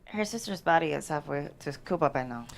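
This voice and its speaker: A woman's voice: low-pitched, lively intonation, fairly quick. A woman playing a weary, formal, unhelpful police lieutenant in a film script table read. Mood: weary, official. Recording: clearly noisy room microphone with clicks.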